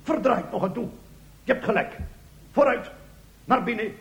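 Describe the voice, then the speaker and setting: A man's voice: extremely high pitch, moderate pace, creaky. Een man die in een komisch hoorspel een bazige, opgewonden politie-inspecteur speelt, blufferig en vol uitroepen. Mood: exasperated, bossy. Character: bossy, excitable male police inspector in comic mystery. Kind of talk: comic radio play acting. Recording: noisy, echoey old radio play recording.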